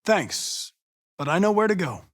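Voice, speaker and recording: A man's voice: high voice, expressive, fairly bright tone, no echo, studio quality. A man voicing a young android police investigator in a video game, with an American accent and a precise, formal, mission-driven manner. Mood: detached, resolute. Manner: precise, clipped, formal, measured, insistent when pressed. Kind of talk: video game voice acting, isolated dramatic lines.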